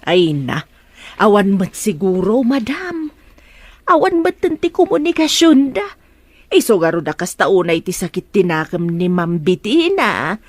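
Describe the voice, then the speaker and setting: A woman playing an excitable, gossiping household helper in a melodrama, chatty, exclamatory and insinuating. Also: medium pitch, a little slow, dry recording. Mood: teasing, nervous. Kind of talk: Ilocano melodrama acting.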